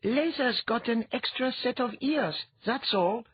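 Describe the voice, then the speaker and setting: A husky-voiced audiobook reader voicing an eager, curious boy with a blunt, matter-of-fact way of talking. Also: medium pitch, measured pace, muffled recording, pitched above the performer's own voice. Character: eager, curious boy who reads minds.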